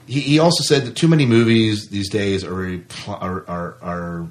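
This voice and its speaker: A man's voice: lowish voice, fairly even intonation, moderate pace. A man co-hosting a podcast, talking casually about films and reading out reviews. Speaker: podcast co-host.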